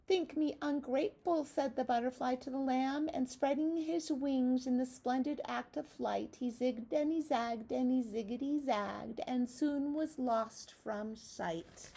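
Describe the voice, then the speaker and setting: A woman's voice: sits high, creaky, dark tone, husky. A woman teacher reading aloud and giving a lesson to young children, addressing them directly in a sing-song manner.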